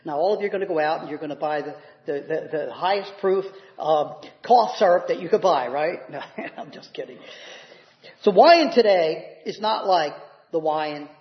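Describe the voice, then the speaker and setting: A man's voice: a little high, lively intonation, moderate pace. A man preaching a teaching sermon, explaining scripture emphatically and putting questions to his congregation. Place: church or hall, speaking to a congregation. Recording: echoey room, slightly dull sound.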